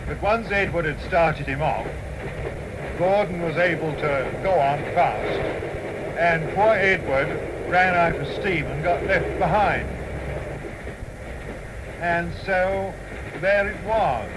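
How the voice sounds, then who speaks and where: A man's voice: takes it slowly, long pauses. A British man, an author, recounting his stories in an interview on an old 1960s tape recording. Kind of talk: unhurried storytelling in an interview. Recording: noisy 1960s tape, outdoor rumble.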